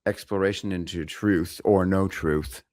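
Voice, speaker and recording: A man's voice: low-pitched, fairly even intonation, unhurried, a little mellow, no echo, studio quality. A man who is a podcast guest, explaining words carefully and correcting in a good-humored way. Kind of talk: casual conversation.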